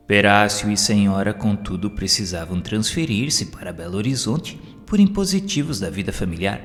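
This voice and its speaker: A man with a Brazilian accent reading scripted audiobook narration as a storyteller. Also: fairly low pitch. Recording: long hall-like reverb. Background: music.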